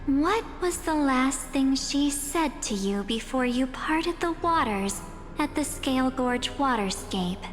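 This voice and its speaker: A woman voicing a sly, unruffled body-stealing impostor in a video game, cool, teasing and deliberate. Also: high-pitched, expressive. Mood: probing. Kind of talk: theatrical video game dialogue. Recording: long hall reverb, faint music. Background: faint music.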